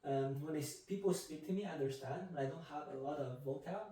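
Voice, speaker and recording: A man in his twenties from Canada, whose first language is Vietnamese, answering interview questions about running in a measured, reflective way. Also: a little high, mellow. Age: 20s. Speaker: guest, marathon runner. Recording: echoey room, mic from a distance.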